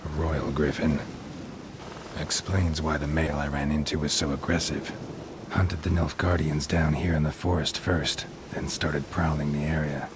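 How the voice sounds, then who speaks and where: A gruff, terse monster hunter. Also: male voice, a bass voice, moderate pace, background noise. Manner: terse, clipped, flat delivery. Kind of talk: video game voice acting. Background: game music.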